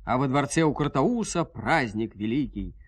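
A man narrating a folk tale in an expressive, folksy storytelling manner. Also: mid-range voice, moderate pace, husky.

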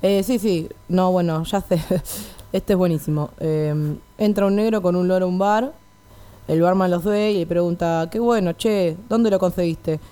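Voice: mid-range voice, moderate pace, dark tone, smooth, lightly acted. A performer playing a timid, deferential man in his fifties at an audition, hesitant, with an Argentine accent, in a radio comedy. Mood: nervous. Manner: hesitant, eager to please. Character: timid, deferential 53-year-old male actor auditioning. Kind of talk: comic radio play acting.

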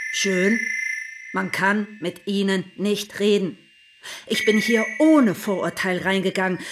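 A woman's voice: mid-range voice, background noise, lightly acted. An actress playing an indignant, principled woman in a radio play, speaking articulately and emphatically in a formal way. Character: indignant, principled woman defending an absent employee.

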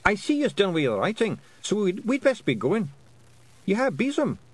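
Brief: man doing a bold wee fairy man, gruff and high, brogue thick. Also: huge pitch swings, normal speed, dry recording, full character voice. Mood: brisk. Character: small wiry Scottish-ish fairy man, bold.

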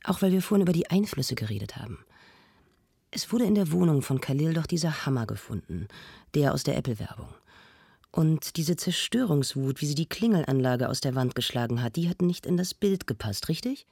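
An anguished, searching intellectual in a radio play talk show. The voice is creaky and husky, swinging in pitch as it presses each point. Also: animated, brisk, darkish tone, no room sound, clean studio sound. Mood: probing, insistent. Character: searching, anguished culture programme discussant, intellectual and insistent. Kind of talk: radio drama played as studio discussion.

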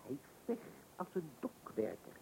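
An actor playing an excitable, boastful angler in a comic radio play, telling tales dramatically in a husky voice. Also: male voice, on the high side, measured pace, a little hiss or hum, exaggerated voice. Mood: dramatic, impatient. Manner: dramatic storytelling, easily irritated by interruption. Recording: dull old radio recording.

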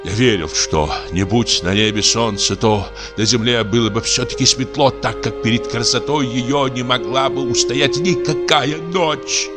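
A man's voice: medium pitch, slow, pitched above the performer's own voice, close to an everyday voice. A man voicing a drunken, lonely comic actor of fifty-eight, frightened and maudlin, lamenting in a feverish, breathless, theatrical way. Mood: wistful, impassioned. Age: 50s. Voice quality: breathless, trembling. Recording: music under the voice. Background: music.

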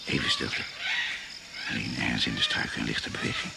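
A man's voice: rumbling low, huge pitch swings, brisk, creaky, exaggerated voice. A man narrating a suspenseful jungle adventure radio play in terse, dramatic phrases. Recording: very noisy radio play recording, insect chirps. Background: crickets and jungle insects.

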